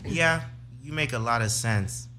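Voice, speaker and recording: A man's voice: mid-range voice, a little slow, sharp tone, slight background noise. A man from Zimbabwe and the United States, a musician answering questions conversationally as an interview guest.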